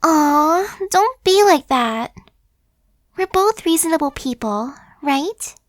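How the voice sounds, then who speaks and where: A woman's voice: high-pitched, lively intonation, takes it slowly, no room sound, clean studio sound. A woman voicing a chatty, friendly female zombie who coaxes and persuades in a casual, sly way, in an ASMR roleplay. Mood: persuasive.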